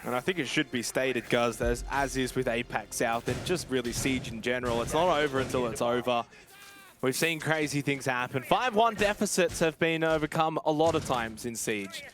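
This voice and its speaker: A man calling a competitive shooter match play-by-play, energetic and rising to excited shouts on big plays. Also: mid-range voice, normal speed, soft background music. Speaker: esports caster. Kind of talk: play-by-play esports commentary.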